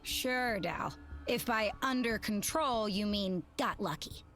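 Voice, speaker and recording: A young woman playing an earnest, clever teenage alien girl in an animated video game, thoughtful and dryly teasing. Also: medium pitch, slow, faint music behind. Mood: wry.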